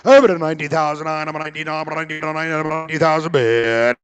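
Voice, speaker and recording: South african afrikaans guy doing livestock auction calling, kinda high voice, really smooth and clear, repeats himself in a patter. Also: fairly quick, a bit bright. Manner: repetitive chanting patter, bilingual asides.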